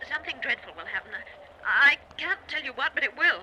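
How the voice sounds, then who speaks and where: An actress playing an anxious wife who pleads haltingly with her husband in an old-time radio drama. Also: high voice, animated, bright, forceful tone, exaggerated voice. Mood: anxious, pleading. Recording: noisy old radio recording.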